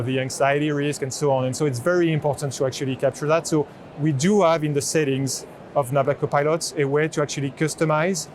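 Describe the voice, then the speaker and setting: A man gives a spontaneous product demo in an explanatory, halting manner. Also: fairly high pitch, a little mellow. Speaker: chief product officer giving a product demo. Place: indoor trade show booth in large exhibition hall. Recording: busy convention hall background noise. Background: exhibition hall noise.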